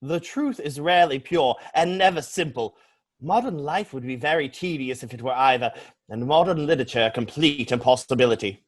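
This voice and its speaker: A man playing a witty, flippant young English gentleman in a stage comedy reading, declaiming with pompous mock seriousness. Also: medium pitch, brisk, close to an everyday voice. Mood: smug, teasing.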